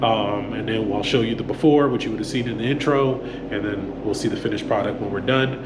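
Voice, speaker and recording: A man's voice: on the low side, brisk. A man with a stuffy nose from a cold, hosting a casual how-to walkthrough with lots of ums and restarts. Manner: relaxed, many ums and restarts. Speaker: auto mechanic channel host. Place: home garage workspace. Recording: echoey room with fan noise.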